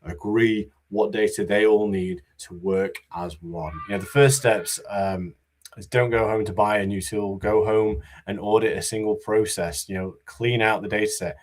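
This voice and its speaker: A man giving a conference talk about business readiness, in a monotone voice with many fillers and restarts. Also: fairly low pitch, moderate pace. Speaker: conference speaker.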